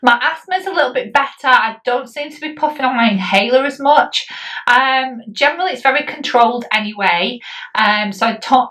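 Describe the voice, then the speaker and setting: Woman, 40s, rambling vlogger, bright tone, slightly distant mic. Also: a little high. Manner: chatty, rambling, many ums and likes. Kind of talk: casual health update vlog.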